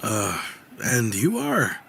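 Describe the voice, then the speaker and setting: A man's voice: lowish voice, huge pitch swings, slow, creaky, background noise, full character voice. A man playing a wary townsman in an anime game, hesitant and skeptical.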